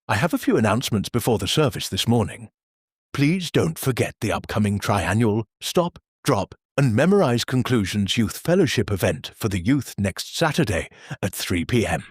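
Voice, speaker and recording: An actor playing a stiff, rule-bound male church minister who reads announcements in a formal, deadpan, bureaucratic way. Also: fairly low pitch, slightly rough, no echo, clean studio sound. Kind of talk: satirical audio drama.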